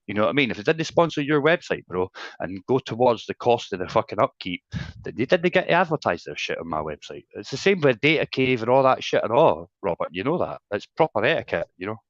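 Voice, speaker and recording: A man's voice: mid-range voice, very animated, quick pace, hardly any pauses, dry recording. A Scottish man talking on a livestream over his phone, casual, sweary and teasing, given to lecturing on etiquette. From Scotland. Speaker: guest co-host on a livestream. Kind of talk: casual banter. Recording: dull lo-fi phone audio.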